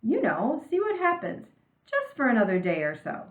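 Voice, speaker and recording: Storybook male teacher, read by a woman: easygoing, persuasive, pitch swooping upward, roomy muffled recording. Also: huge pitch swings, moderate pace. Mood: incredulous, persuasive. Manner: persuasive, questioning, emphatic. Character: upbeat easygoing male teacher pleading for patience.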